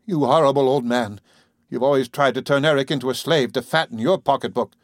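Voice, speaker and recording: A man's voice: medium pitch, normal speed, no echo, clean studio sound, pitched above the performer's own voice, natural voice. A man reading an audiobook, voicing an indignant young woman who stands up defiantly to a bully. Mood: enraged. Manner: defiant, stammering under pressure.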